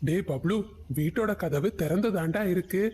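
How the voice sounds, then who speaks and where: A man's voice: sits high, normal speed, darkish tone, a little hiss or hum, lightly acted. A man as a scheming thief crow. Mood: plotting.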